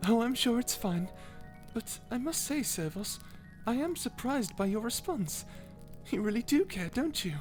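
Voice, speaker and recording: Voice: medium pitch, big pitch swings, unhurried, a little mellow, soft background music, voice pushed up. A performer voicing a kind guardian angel in an ASMR roleplay, soothing, earnest and encouraging. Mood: bright, polite. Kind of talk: ASMR roleplay character acting.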